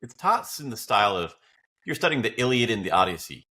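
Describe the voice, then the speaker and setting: A man's voice: on the low side, very animated, a little fast, pushed and bright. An American man bantering casually as a co-host, playful and wry, swearing freely. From United States. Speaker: podcast co-host.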